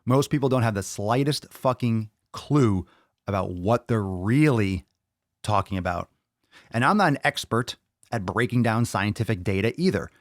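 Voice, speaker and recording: A man's voice: lowish voice, animated, moderate pace, dry recording. A young man hosting a solo podcast, ranting bluntly and emphatically with frequent swearing into a close mic. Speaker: podcast host.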